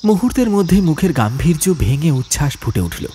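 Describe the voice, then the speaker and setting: Expressive male horror story narrator. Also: fairly high pitch, brisk, a little hiss or hum. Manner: suspenseful, expressive. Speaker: audiobook narrator.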